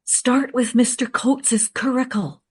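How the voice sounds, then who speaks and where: A woman playing a director's assistant who gives brisk advice in a radio play. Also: on the high side, steady tone, slightly rough. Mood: matter-of-fact.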